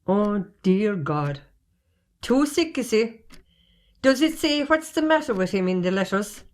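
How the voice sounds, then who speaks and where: A woman's voice: mid-range voice, sing-song, measured pace. Nosy Irish village post carrier, played by a woman. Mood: prying. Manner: gossipy, roundabout, folksy. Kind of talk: amateur stage comedy-drama acting.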